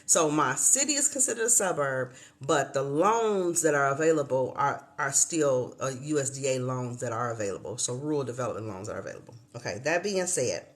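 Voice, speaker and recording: A woman's voice: extremely low pitch, expressive, a little fast, few pauses. A woman in her fifties from Chicago, hosting a casual livestream chat from a hotel room and addressing viewers as y'all. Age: 50s. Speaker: livestream host.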